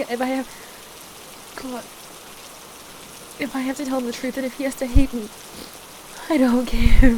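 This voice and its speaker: A woman playing a distraught, trapped partner in an intimate audio roleplay, pleading and halting as she repeats herself. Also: fairly high pitch, natural voice. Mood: anguished. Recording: steady rain noise behind the voice. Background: rain.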